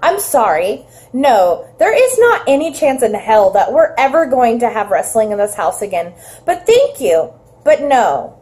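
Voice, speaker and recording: A woman's voice: a little high, very animated, unhurried, a little mellow. A young woman playing a fed-up, firm housewife who is pestered by prank phone calls. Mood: weary, firm. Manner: exasperated, firm. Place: small room at home.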